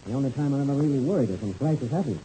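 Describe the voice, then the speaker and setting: A man acts out a hearty, reassuring aviator with a very mellow tone and lively intonation. It is plain-spoken vintage radio serial acting with faint hiss. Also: mid-range voice, very fast, close to an everyday voice. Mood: genial. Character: genial, steady male aviator, mentor to a youngster. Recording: muffled, hissy old radio recording.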